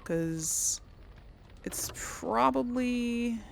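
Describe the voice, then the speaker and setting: Unhurried woman gamer, creaky voice. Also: on the high side. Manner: rambling, thinking aloud. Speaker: gaming video host. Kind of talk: casual let's play commentary.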